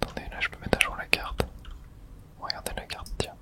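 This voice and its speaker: A person whispering informal ASMR commentary while playing a video game, muttering reactions with some mild swearing in a breathy, unvoiced voice. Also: fairly quick, sharp tone. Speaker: gamer streaming playthrough for viewers.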